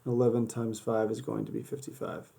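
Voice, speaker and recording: A man's voice: medium pitch, little pitch movement, a little fast, creaky, mellow, a little hiss or hum. A man teaching a math lesson, working through problems step by step in a casual way while losing his voice. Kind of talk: worked-example math lesson. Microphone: camera over a desk filming paper and hands.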